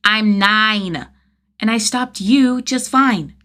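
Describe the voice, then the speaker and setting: A woman's voice: mid-range voice, slow pace, fairly bright tone, voice pushed up. A woman voicing an earnest, feisty nine-year-old boy with a blunt, eager manner in a story reading. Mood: defiant.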